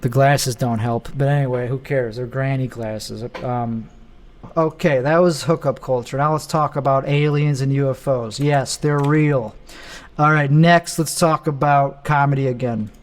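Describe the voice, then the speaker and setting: A man's voice: medium pitch, steady tone, measured pace. A young man hosting a casual solo show, talking bluntly and rambling with self-mocking asides. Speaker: podcast host.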